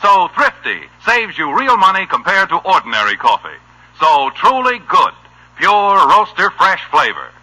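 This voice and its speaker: A man's voice: high voice, huge pitch swings, a little slow, bright, forceful tone, poor audio. An American man announcing a 1950s radio show and its commercials in polished, emphatic, punchy phrases. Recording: old radio recording.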